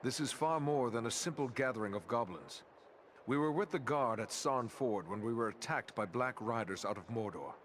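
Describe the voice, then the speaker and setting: A man's voice: medium pitch, animated, normal speed, fairly bright tone, slight background noise, close to an everyday voice. A man playing a wary, serious ranger warrior in a fantasy game. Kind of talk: fantasy game cutscene acting.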